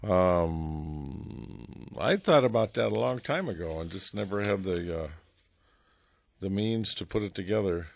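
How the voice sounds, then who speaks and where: A man's voice: sits low, lively intonation, unhurried, creaky, no room sound. A man hosting a casual radio interview, joking and chatty with frequent fillers. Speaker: radio host.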